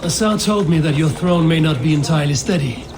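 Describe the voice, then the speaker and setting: An actor playing a composed, warm seafaring Viking warrior in a video game, speaking in a measured, plainspoken way. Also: male voice, fairly high pitch, background noise, lightly acted. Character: adult Viking warrior newly arrived by sea, composed, warm. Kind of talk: video game cinematic acting.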